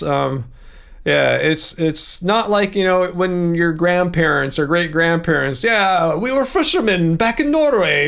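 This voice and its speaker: Man, livestream host, chatty and rambling, reads viewer messages, gravelly voice. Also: a little high, fairly bright tone. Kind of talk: casual livestream chat.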